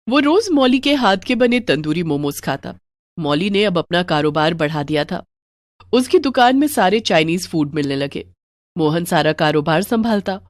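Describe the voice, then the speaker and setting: A woman's voice: on the low side, no echo. A woman narrating a scripted moral bedtime story as a storyteller.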